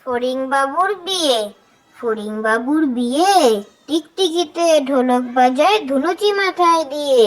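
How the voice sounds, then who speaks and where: High-pitched childlike nursery rhyme reciter. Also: lively intonation, measured pace.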